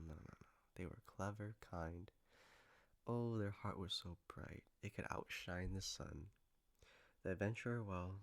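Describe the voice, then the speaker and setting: Tender low-voiced boyfriend, played by a man, gravelly. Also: heavily acted. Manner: tender, soft, halting, playful. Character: gentle, doting male partner soothing a lover after a nightmare. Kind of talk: intimate comfort audio roleplay.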